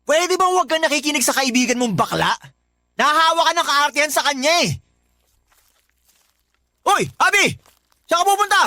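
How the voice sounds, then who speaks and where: A smooth-talking young suitor with a fairly high voice, charming on the surface but pushy and demanding. Faint music plays behind him in this radio drama. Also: male voice, expressive, moderate pace, pauses often, pushed and bright, no room sound, clean studio sound, exaggerated voice. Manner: charming at first, then demanding. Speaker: suitor Dennis.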